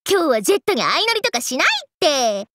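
Very high, hyper trendy party girl. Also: sing-song, fast talker, a bit bright, dry recording. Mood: energetic, cheeky. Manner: exuberant, breezy slangy speech. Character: hyper, slangy, fun-loving trendy young party girl. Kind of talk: anime game character lines.

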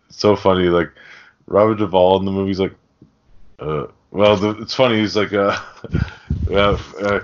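A man's voice: sits low, smooth. A man co-hosting a podcast, chatting casually about films in an informal, sweary way. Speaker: podcast co-host. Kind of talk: casual film chat. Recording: dull, lo-fi sound.

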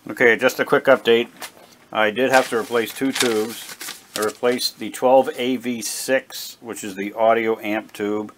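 A man giving a casual, unscripted narration at a workbench, plain-spoken and halting as he thinks aloud like a hobbyist repair vlogger. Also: mid-range voice, steady tone. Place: indoor home workbench. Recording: clear background noise, workshop ambience.

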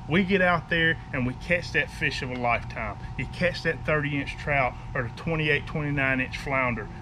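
A man, talking casually to the camera in a driveway and stopping to ask "okay?" as he runs through fishing gear. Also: on the high side. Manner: folksy, emphatic, keeps asking "okay?". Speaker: fishing vlogger. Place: outdoor driveway beside a house. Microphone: camera mic at a few feet. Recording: outdoor camera audio with background noise.

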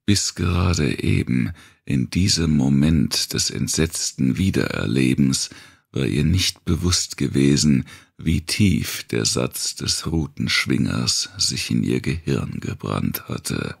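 A man narrating a dark thriller audiobook in a slow, deliberate, dramatic manner, with a gravelly, raspy voice. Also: a bass voice, animated. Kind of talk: scripted narration of a dark post-apocalyptic thriller.

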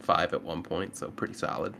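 A man's voice: sits low, brisk, vocal fry, bright, forceful tone, slight background noise. A man chatting casually as a player in a tabletop game. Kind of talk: casual chat.

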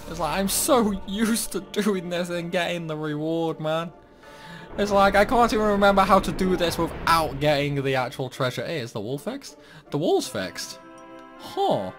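Male gaming host, animated mid-range voice, chatty and playful, game music underneath. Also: a little high, normal speed. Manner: chatty, playfully talks to the game's characters. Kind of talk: casual game playthrough commentary. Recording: music behind the voice.

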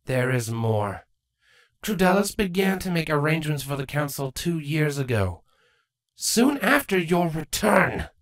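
Woman acting a low, grave changeling queen. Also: very animated. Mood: fierce, protective. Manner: measured, formal, grave. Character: regal, brooding changeling queen mother, protective and grave. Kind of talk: acted fan fiction audiobook dialogue.

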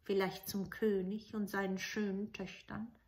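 A kindly old woman from a fairy tale, put on by a female storyteller, speaking low and hushed. She is sly and knowing, as if passing on a secret. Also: flat delivery, measured pace, close to an everyday voice.

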